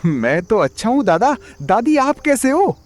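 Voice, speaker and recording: Man as earnest young grandson in a horror audio story, lively, slightly high, faint music behind. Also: a little slow, full character voice. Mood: pleading. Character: eager, pleading young village grandson. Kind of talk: first-person story narration and dialogue.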